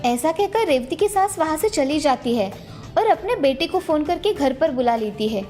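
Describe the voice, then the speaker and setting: A woman's voice: high-pitched, expressive, normal speed, dark tone, faint music behind. A woman narrating a scripted children's moral story.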